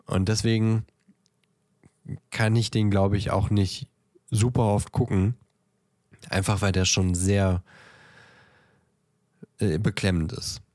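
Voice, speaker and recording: A man chatting casually as a podcast co-host, thinking aloud in a halting way as he ranks things. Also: lowish voice, little pitch movement, darkish tone, no echo, studio quality. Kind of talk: casual chat, ranking films.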